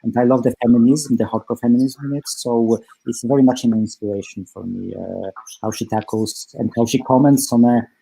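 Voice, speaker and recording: A man's voice: mid-range voice, fairly even intonation, brisk, gravelly, dark tone, slightly rough, low-quality recording. A man from Poland, a film director answering festival questions in English as a second language, hesitant with many fillers. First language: Polish.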